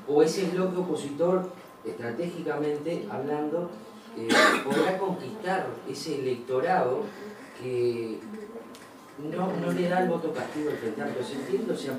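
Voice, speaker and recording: Man in a very echoey hall. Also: medium pitch. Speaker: audience questioner. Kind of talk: long spoken question at a public forum. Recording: very echoey hall, distant, noisy.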